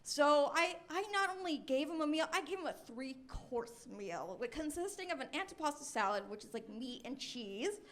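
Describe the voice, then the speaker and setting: A high-pitched American woman in her twenties performs stand-up on a club stage. She tells stories in a chatty, self-deprecating way, as a comedian addressing a live crowd. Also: expressive, normal speed, hardly any pauses, fairly bright tone. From Los Angeles, United States. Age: 20s. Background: audience laughter.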